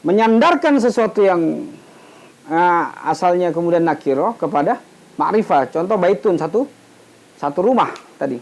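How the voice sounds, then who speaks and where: High-pitched man teaching. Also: lively intonation, moderate pace, vocal fry, pauses often, a little mellow. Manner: didactic, asks questions then answers them; often repeats and completes half-said words for listeners. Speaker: Islamic studies teacher. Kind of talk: explanatory religious lecture, informal.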